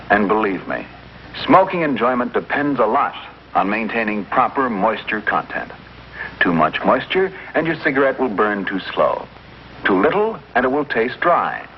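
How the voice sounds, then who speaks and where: A male announcer delivers a polished, emphatic 1950s commercial pitch. His intonation swings in a lively way at a medium pitch. The old broadcast recording is dull, with faint noise. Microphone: voice-over for filmed commercial.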